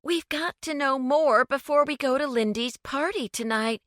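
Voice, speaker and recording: Brief: insistent, urgent young woman, raised pitch and lively, by the woman narrator. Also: a little slow, dry recording, clean studio sound. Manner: urgent, pleading, bossy.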